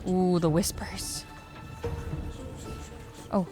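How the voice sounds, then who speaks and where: A woman's voice: lowish voice, fairly even intonation, drawn-out words. A woman giving casual, reactive gaming commentary, repeating herself and swearing now and then. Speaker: let's player. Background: game music.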